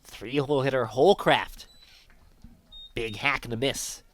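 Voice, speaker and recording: A man's voice: mid-range voice, a little slow, fairly bright tone. A man calling live baseball play-by-play as the announcer of a sports broadcast.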